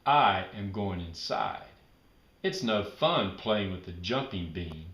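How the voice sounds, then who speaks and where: A man's voice: deep voice, very animated, takes it slowly, fairly bright tone, echoey room, full character voice. A man voicing a direct, sociable young schoolgirl in a children's storybook. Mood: annoyed.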